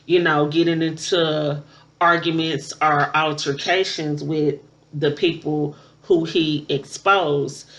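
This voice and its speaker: A woman aged thirty-nine chatting casually and unscripted to her viewers as a vlogger, with emphatic, conversational phrasing. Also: low voice. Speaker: vlogger reviewing a movie. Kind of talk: casual unscripted chat to camera.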